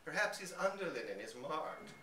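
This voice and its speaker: A man's voice: on the high side, sing-song, moderate pace, bright, forceful tone, voice pushed up. A man voicing a kindly, inquisitive aunt with a decided, fussy manner in a storybook reading. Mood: interested, decisive. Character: kindly, inquisitive middle-class aunt, decisive and sweet. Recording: echoey room from a distance, noisy.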